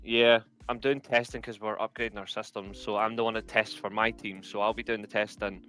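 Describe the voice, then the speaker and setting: A man's voice: on the low side, level tone, a little fast, sharp tone, clean tone. A tired man, co-hosting a casual live stream and rambling with lots of repeated words. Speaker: co-host.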